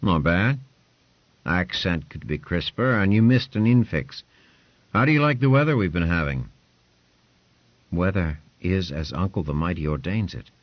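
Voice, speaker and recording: A brusque, imperious lord barks short commands in a raised, forceful voice, as a man puts him on. The sound is dry and a little dull. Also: sits low, animated, normal speed, long pauses, no echo. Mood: commanding. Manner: curt, commanding, clipped orders.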